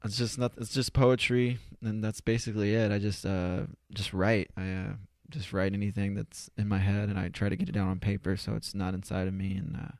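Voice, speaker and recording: A man in his twenties answering casually as a podcast guest, halting and self-effacing, often repeating his words. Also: lowish voice, flat delivery, no echo, studio quality. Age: 20s. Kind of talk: casual, unscripted interview answers. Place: converted garage home studio.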